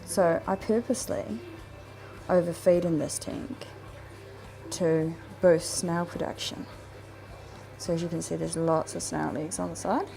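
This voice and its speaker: A woman's voice: fairly low pitch, fairly even intonation, measured pace, gravelly, dark tone, soft background music. A woman with a New Zealand accent, chatting casually as she films her home fish room, rambling with plenty of ums and restarts. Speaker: fishkeeping vlogger. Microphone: handheld camera.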